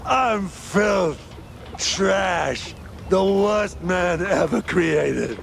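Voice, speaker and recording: Miserable, slow, gravelly cartoon sad sack. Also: male voice, high voice, expressive, background noise, full character voice. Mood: maudlin self-loathing. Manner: hesitant, maudlin, trailing off. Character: self-loathing drunkard diver husband, miserable and pleading. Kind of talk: cartoon game voice acting.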